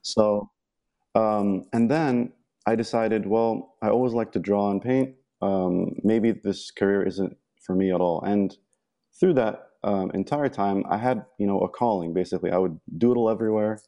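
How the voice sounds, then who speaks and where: A man's voice: lowish voice, moderate pace, mellow. A young man from Egypt, a podcast guest talking casually with many ums and restarts. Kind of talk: casual interview talk.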